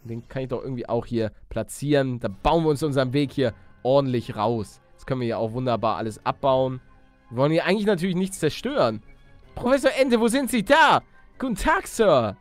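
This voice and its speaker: A man doing excitable let's play commentary, exclamatory and slangy, talking to viewers and himself. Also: mid-range voice, a little fast, dry recording, soft background music. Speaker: gaming YouTuber and let's player.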